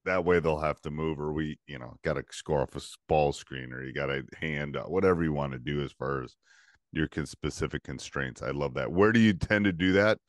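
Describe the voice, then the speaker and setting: A middle-aged man hosts a coaching podcast in a home studio, speaking into a studio microphone. His voice sits very low and sounds dry and close. He cuts in often with eager affirmations. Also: fairly quick, no room sound. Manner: enthusiastic, affirming interjections. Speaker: podcast host. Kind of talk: casual coaching interview. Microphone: studio microphone with headphones.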